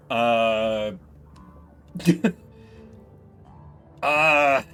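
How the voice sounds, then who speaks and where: A man's voice: mid-range voice, bright, forceful tone. Congested man giving casual game commentary. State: congested with a minor cold. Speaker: streamer. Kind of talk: casual game playthrough commentary, voicing dialogue.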